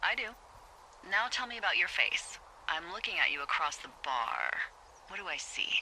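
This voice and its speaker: Wry female lookout boss, American, very bright forward voice, dry playful game dialogue over a faintly hissy radio. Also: on the low side, very animated, normal speed, exaggerated voice, low-quality recording. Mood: teasing, curious. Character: wry, teasing female fire lookout supervisor. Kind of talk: naturalistic video game dialogue.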